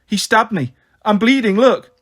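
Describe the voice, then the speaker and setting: A man's voice: sits high, animated, fairly quick, voice pushed up. A man voicing a plucky teenage boy in an audiobook, jokey and romantic, with a playful, theatrical way of talking. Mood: excited.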